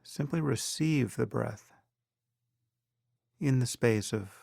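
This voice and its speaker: A man leading a guided meditation as a teacher, in a measured, instructional manner with pauses between phrases. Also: medium pitch, gravelly, darkish tone, dry recording, clean studio sound.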